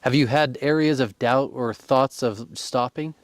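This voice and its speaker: Utah guy hosting a podcast, chatty and encouraging, middle pitch. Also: measured pace, no room sound. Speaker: podcast host. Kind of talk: casual interview.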